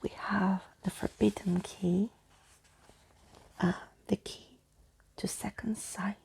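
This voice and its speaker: A woman speaking softly and intimately in an ASMR bedtime storytelling style, as if to one listener, with a breathy, husky, creaky voice. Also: mid-range voice, level tone, slow pace, rough recording. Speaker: ASMR storyteller reading a book aloud. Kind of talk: soft-spoken ASMR bedtime roleplay and reading.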